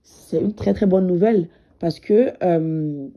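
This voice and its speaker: Husky woman with a head cold. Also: fairly low pitch, slow pace, muffled recording. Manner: hesitant, many fillers, repeats words. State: has a cold. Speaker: spiritual medium and coach. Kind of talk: direct-to-camera spiritual talk.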